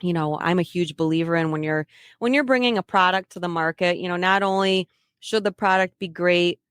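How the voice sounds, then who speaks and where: Woman, podcast host, chatty, lowish voice, dry close sound. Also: a little fast, clean tone, dry recording. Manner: chatty, laughs often, restarts phrases. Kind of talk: casual interview chat.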